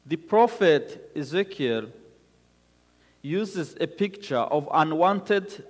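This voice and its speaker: Man, Rwandan accent, church testimony, earnest, unhurried halting phrasing, long echoing hall. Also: on the high side. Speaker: guest speaker giving testimony. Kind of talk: church testimony with scripture readings. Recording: reverberant hall with long echo.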